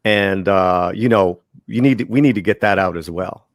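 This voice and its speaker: A man speaking casually on a panel, emphatic and halting, often repeating his words. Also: sits low, no echo, clean studio sound.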